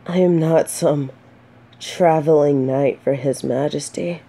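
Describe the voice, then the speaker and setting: An earnest exiled female knight, voiced by a woman for a romantic fantasy audio drama. Her voice is low and mellow, and she takes her time. She carries herself solemnly, yet her words can stumble when she is flustered. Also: fairly even intonation, slow, full character voice. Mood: solemn, remorseful. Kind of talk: scripted romantic audio roleplay, addressing the listener.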